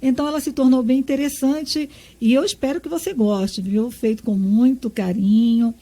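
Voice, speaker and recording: A woman from Brazil with a mellow, dark-toned voice, hosting a radio interview. She is effusive, thanking and praising her guest. She often checks in with small tag questions. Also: on the high side, fairly even intonation, moderate pace. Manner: warm, effusive. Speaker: radio host.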